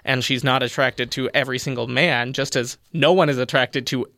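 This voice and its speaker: A man's voice: medium pitch, a little fast, dry recording, studio quality. A young man with a slight lisp, talking earnestly and expressively in a casual panel discussion. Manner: expressive, animated, explaining earnestly. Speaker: panel guest.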